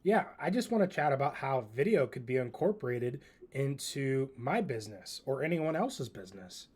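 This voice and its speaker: Man giving a casual software demo. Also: mid-range voice, sing-song, normal speed, breathy edge. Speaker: presenter demonstrating software. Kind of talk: casual spoken tutorial.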